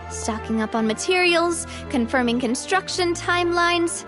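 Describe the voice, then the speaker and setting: A woman playing a cheerful young female leader in a video game, bubbly yet earnest and polished. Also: sits high. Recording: music behind the voice.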